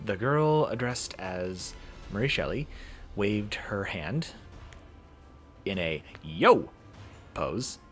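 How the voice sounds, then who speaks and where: A man streaming a visual novel playthrough, reading it aloud in a halting, dramatic way and correcting himself. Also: on the low side, sing-song, fairly bright tone, faint music behind.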